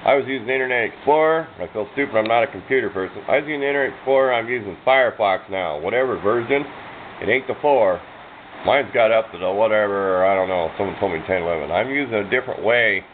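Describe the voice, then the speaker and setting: A man's voice: lowish voice, expressive, fast. An American man giving a casual, unscripted show-and-tell, talking in a plain-spoken, rambling, self-deprecating way. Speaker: hobbyist radio demonstrator. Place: indoors at a workbench. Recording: tinny phone-like sound with clear background noise.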